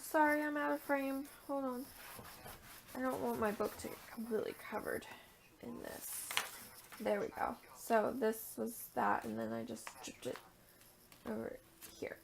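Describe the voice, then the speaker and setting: Raspy-voiced woman chatting through crafts. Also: a little high, lively intonation, measured pace, slight background noise. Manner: chatty, thinks aloud while working, drops to a murmur at times. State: tired at the end of a long day. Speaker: art journaling vlogger. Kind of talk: casual crafting tutorial.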